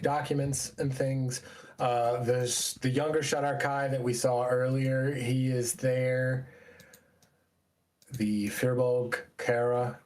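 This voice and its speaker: A man runs a tabletop role-playing game as game master. His pitch is average and his pace is slow, with halting, deliberate narration that feels improvised. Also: a little mellow.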